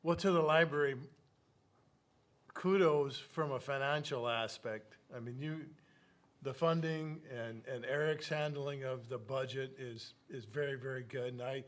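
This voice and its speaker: A man chairs a small council meeting at an ordinary middle pitch. He is upbeat and effusive, and his phrases often double back on themselves. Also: measured pace. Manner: enthusiastic, repeats words. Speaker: council president.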